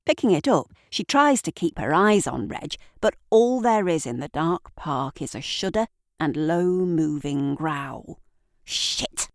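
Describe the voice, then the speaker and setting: Woman doing audio drama narration, really slow and deliberate, theatrical pauses, super dry close sound. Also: deep voice, lively intonation, no echo. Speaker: narrator and writer.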